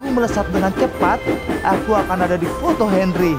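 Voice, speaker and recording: A man's voice: high voice, fast talker, over loud music, close to an everyday voice. A man voicing an eager young steam engine in a children's cartoon, hasty but apologetic and earnest. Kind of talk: dubbed children's cartoon acting.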